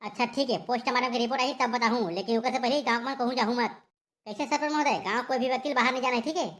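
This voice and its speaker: Woman playing a fast, high-pitched Chhattisgarhi police inspector. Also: fairly even intonation, a bit bright, close to an everyday voice. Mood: scolding, interrogating. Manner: scolding, curt questioning. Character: blustering, bullying village police inspector. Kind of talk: Chhattisgarhi comedy cartoon voice acting.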